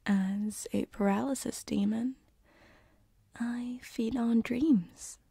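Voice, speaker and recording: A gentle female paralysis demon, acted by a woman, murmurs in a very slow, breathy whisper. The voice is soft and mellow with some creak, close to the mic, teasing and soothing in ASMR roleplay style. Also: on the high side, dry recording, full character voice. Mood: savoring, playful. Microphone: close binaural microphone.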